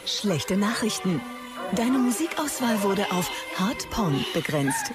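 Casting: taunting female announcer, animated swoops, loud music beneath. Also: medium pitch, slow. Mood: mocking. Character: gloating female automated announcer, mocking. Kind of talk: mock advert announcement.

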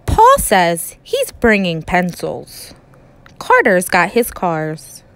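A woman teacher reading a children's storybook aloud in an expressive, emphatic way with dramatic pauses. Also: fairly low pitch, clean tone, no room sound.

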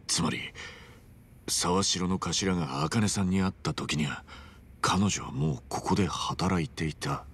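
An actor voicing an earnest, friendly man of about forty with a blunt, casual, rough-edged way of speaking in a video game cutscene. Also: deep voice, a little slow, a bit bright, a little hiss or hum. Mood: serious.

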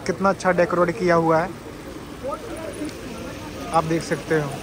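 A man's voice: on the high side, flat delivery, unhurried. A man chatting casually as a travel vlogger while walking along a city waterfront, pointing things out, repeating himself loosely. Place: outdoors on a city waterfront street. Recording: outdoor traffic noise.

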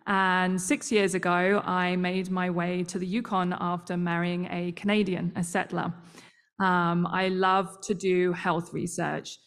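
A woman's voice: fairly low pitch, flat delivery, clear, resonant tone. Woman presenting on a video call. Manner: friendly, measured presentation with frequent ums. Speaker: scientific director. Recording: roomy reverb.

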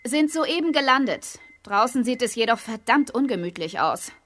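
High-voiced brisk astronaut. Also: female voice, lively intonation, a bit bright. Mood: businesslike. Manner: brisk, dry, businesslike. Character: cool, dry-witted female spaceship crew member. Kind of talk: audio drama acting.

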